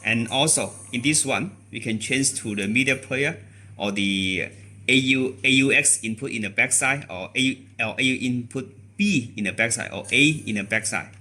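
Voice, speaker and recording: A man giving an unscripted product demonstration, explaining in a halting, repetitive way with non-native phrasing. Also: mid-range voice, pushed and bright.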